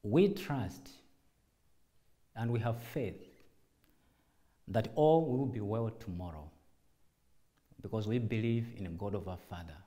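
Low, mellow man giving a formal address. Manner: deliberate, emphatic, short measured phrases with repeated appeals. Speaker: church director and apostle giving a public address. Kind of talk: formal public address to church followers.

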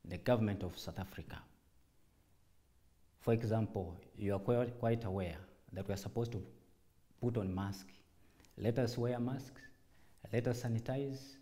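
A man speaking as a church leader in a formal public address, deliberate and emphatic, in short measured phrases. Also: medium pitch, mellow. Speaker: church director and apostle giving a public address.

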